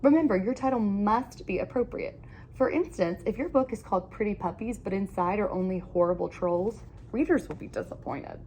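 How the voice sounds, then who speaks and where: A woman reading a children's picture book aloud in an animated, expressive storytelling manner with emphatic phrasing. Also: mid-range voice, a little fast, a little hiss or hum.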